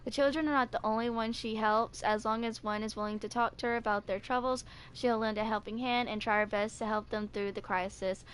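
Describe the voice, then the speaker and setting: A woman in her twenties with a fairly high voice talks and reads in a casual fan-video style. It is a home recording with a little noise behind her. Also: steady tone, fairly quick, hardly any pauses. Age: 20s. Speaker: video creator. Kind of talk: casual reading aloud and chat for a fan video.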